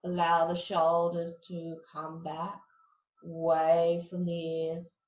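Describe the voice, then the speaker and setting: A woman teaching meditation, giving guided relaxation instructions in a calm, measured, soothing manner. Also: fairly low pitch, reverberant, poor audio.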